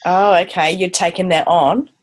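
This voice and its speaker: A woman's voice: on the low side, fairly even intonation, normal speed. A woman hosting an interview, prompting her guest with short questions and brief replies. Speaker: podcast host. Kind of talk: conversational interview.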